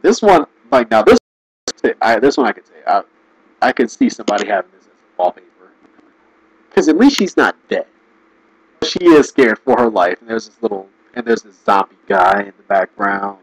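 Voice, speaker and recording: A man giving casual, rambling commentary, restarting sentences and laughing often, his voice going hoarse. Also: mid-range voice, no room sound, poor audio. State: losing his voice. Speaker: video commentator.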